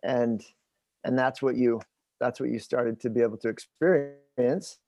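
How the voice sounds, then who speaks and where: A man in his forties in a conversational interview, explaining things in a halting, thinking-aloud way. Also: mid-range voice, steady tone, mellow, dry recording. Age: 40s. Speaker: host interviewing his father.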